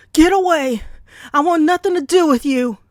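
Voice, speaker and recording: Wary captive woman, played by an actress, slow and halting, old-fashioned phrasing, dry sound, podcast drama. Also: very high voice, animated, dry recording, full character voice. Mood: terrified defiance. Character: wary, defiant enslaved woman bargaining with a demon. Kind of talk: podcast audio drama acting. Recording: dry amateur home recording.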